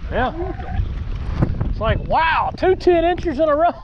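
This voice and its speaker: A man's voice: sing-song, a little slow, lo-fi sound. A man chatting casually on a boat outdoors as he hosts a fishing vlog, in a folksy way with short repeated phrases. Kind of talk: casual chat while fishing. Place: outdoors on a boat on a windy lake. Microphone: action camera worn on the body. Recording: wind noise and boat rumble.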